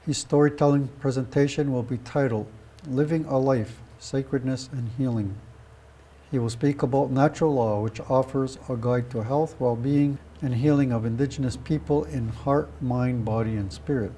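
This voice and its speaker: Mellow-voiced man reading an introduction. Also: mid-range voice, steady tone, moderate pace, slight background noise, rough recording. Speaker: presenter introducing a guest speaker. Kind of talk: scripted biographical introduction, read aloud.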